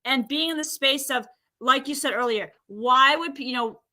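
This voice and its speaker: A woman guest on a talk show, chatting in an animated way and acting out what people say. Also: high-pitched, normal speed. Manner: animated, acts out quoted speech. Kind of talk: casual chat.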